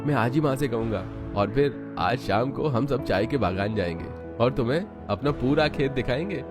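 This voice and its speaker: A man's voice: mid-range voice, expressive, moderate pace, music in the background, voice pushed up, natural voice. A man voicing a caring young husband in a cartoon family story. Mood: concerned, eager.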